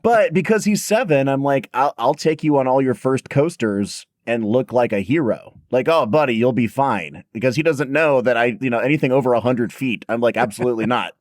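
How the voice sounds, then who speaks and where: A man in his thirties hosting a podcast in casual chat, his voice hoarse and raspy, telling stories in a chatty, self-deprecating way. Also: mid-range voice, animated, a little fast, few pauses, dry recording, studio quality. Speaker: podcast host.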